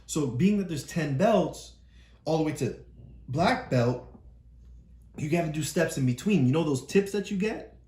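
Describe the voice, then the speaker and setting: A woman with a deep voice and animated, up-and-down intonation explains a story to a child, encouraging and patient. The sound has noticeable room echo and a faint hiss behind it. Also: a little slow, pauses often. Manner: encouraging, keeps checking understanding. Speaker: parent coaching a child through a read-aloud.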